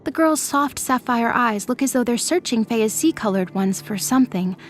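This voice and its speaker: A woman's voice: a little high, lively intonation, normal speed. A woman narrator reading scripted, dramatic fiction for an audio drama.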